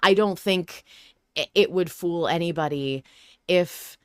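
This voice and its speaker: Woman podcast host, smooth lowish voice, conversational, rephrases as she reasons. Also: unhurried, a bit bright, no echo, clean studio sound. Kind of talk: casual discussion of an audio drama.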